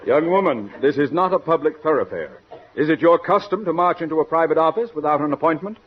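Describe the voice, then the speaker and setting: An actor playing a haughty, world-weary publicity counsel in a 1940s radio comedy, speaking in florid, formal phrases. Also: male voice, on the high side, lively intonation, fast talker, noisy background, lightly acted. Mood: haughty, amused. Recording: dull old radio recording.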